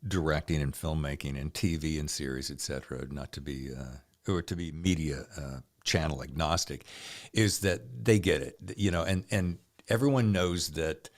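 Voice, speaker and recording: A man's voice: extremely low pitch, normal speed. A man hosting a podcast in casual, enthusiastic conversation, often speaking straight to listeners. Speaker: podcast host. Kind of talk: casual interview chat.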